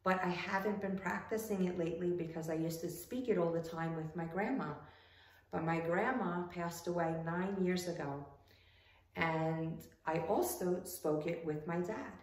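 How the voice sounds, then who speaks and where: Woman teacher, first language Portuguese, lowish voice, home lesson for kids, recorded from a little way off in an echoey room. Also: normal speed, far from the mic. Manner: keeps asking "right?" to check in with students. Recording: echoey room, voice from off the mic, faint ambience.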